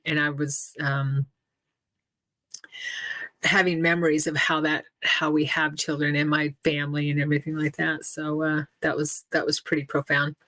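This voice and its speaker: Low-voiced woman with halting speech. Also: little pitch movement, pushed and bright, no room sound, poor audio. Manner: halting, many restarts and fillers, laughs often. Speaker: interview guest. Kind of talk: casual spoken interview.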